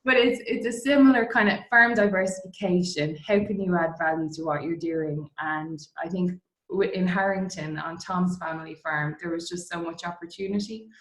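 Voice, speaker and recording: A woman's voice: fairly low pitch, normal speed, a bit bright. A middle-aged woman with an Irish accent chatting informally as an interview guest about her own career. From Dublin, Ireland. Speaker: interview guest, distillery co-founder. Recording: roomy, echoey sound.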